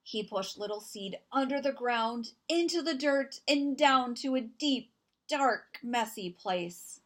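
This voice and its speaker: A woman reading a children's picture book aloud as storyteller, with expressive, deliberate narration and dramatic emphasis. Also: fairly high pitch.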